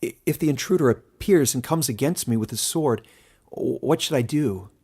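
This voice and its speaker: A man voicing a meek, soft-spoken outcast village boy, hesitant and deferential, in a fantasy audiobook. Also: medium pitch, normal speed, slightly rough, pitched above the performer's own voice. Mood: nervous.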